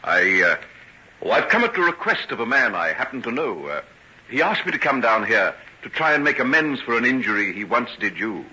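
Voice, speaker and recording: An actor in an old-time radio drama playing a soothing, apologetic gentleman doctor with a polite, formal, hesitant manner. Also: medium pitch, sing-song, brisk, breathy edge, full character voice. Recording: dull old radio recording, faint noise.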